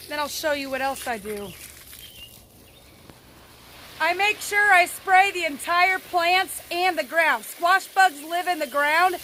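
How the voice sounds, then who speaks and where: High-voiced woman with a cold, chatting outdoors. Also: sharp tone, slight background noise. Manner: folksy, informal, chatty. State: getting over a cold, sore throat. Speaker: gardening vlogger, host. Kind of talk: casual how-to vlog talk. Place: outdoors in a home garden.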